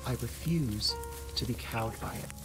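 A woman playing a stern, defiant ancient Norse seeress in an audio drama, speaking in solemn, measured, formal declarations. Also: a bass voice, over music, poor audio. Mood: grave, defiant.

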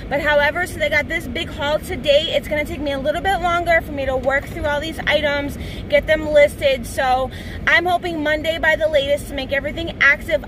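A woman's voice: high-pitched, background noise. A woman in her forties filming a shopping vlog on a handheld phone, gushing and exclamatory as she shows her finds. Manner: gushing, exclamatory, quick bursts of excitement. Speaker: shopping vlogger. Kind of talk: excited shopping haul vlog. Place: parked car, then indoor retail store. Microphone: handheld phone camera.

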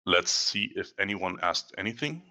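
Man demoing software, bright voice. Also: deep voice, expressive. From Germany. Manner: conversational, frequent "um" pauses, self-correcting. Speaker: presenter at online meetup. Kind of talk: casual product demo presentation. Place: dim indoor room in front of a large screen. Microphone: headset.

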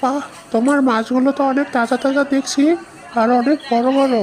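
Woman voicing a very high-pitched eager market customer. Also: fairly even intonation, brisk, darkish tone, soft background music, natural voice. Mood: eager, friendly. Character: eager, chatty male village market customer. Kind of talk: animated fairy-tale cartoon dub.

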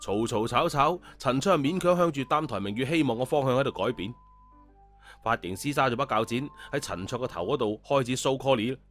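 A man's voice: mid-range voice, lively intonation, fairly quick, fairly bright tone, soft background music. A man narrating a story in colloquial style.

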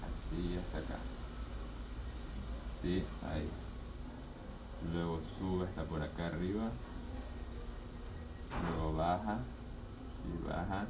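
Brief: young Ecuadorian man, low voice, chatty livestream drawing commentary, noisy phone audio. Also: fairly even intonation, slow, long pauses. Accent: Ecuadorian Spanish. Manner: chatty, reads viewer comments aloud and narrates his drawing steps. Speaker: livestreaming artist. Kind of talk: casual livestream chat while drawing. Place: indoor room, drawing at a whiteboard.

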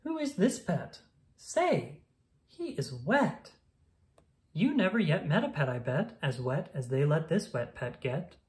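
A woman reading a rhyming children's picture book aloud in a playful, sing-song, expressive manner. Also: low voice, unhurried.

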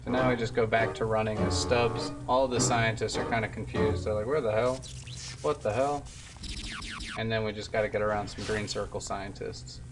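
A young man with a low voice talks casually through a video game. He sounds a little away from the mic, and loud game music runs behind him. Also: fairly even intonation, normal speed, recorded from a distance, poor audio. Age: 20s. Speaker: game streamer. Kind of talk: casual gameplay commentary. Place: indoor room. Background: loud game music and vehicle noise.

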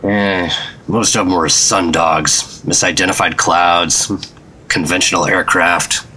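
An actor playing a wry, steady government agent who narrates a 1950s radio drama in a dry, laconic way. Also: male voice, sits low, slow, a little hiss or hum, exaggerated voice, poor audio. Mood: casual. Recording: voice over prominent background music.

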